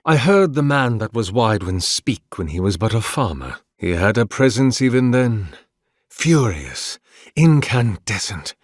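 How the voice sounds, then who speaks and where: An actor playing an ancient, weary, devout priest in a video game, speaking formally and eloquently with solemn dignity. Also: male voice, lowish voice, very animated, unhurried, no room sound, clean studio sound. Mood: wistful, indignant. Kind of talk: dramatic video game dialogue.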